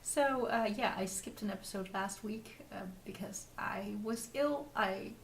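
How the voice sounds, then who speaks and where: A woman from the Netherlands with a Dutch accent, hosting a casual craft vlog in a halting, rambling way while getting over a cold. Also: mid-range voice, gravelly. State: recovering from a cold. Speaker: podcast host. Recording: distant mic with faint noise.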